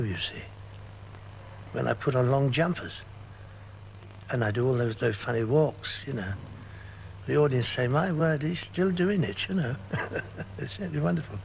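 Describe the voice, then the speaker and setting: Older male performer, gravelly, warm reminiscing interviewee. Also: medium pitch, slight background noise, low-quality recording. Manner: fond, reminiscing, peppered with "you know". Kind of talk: reflective interview.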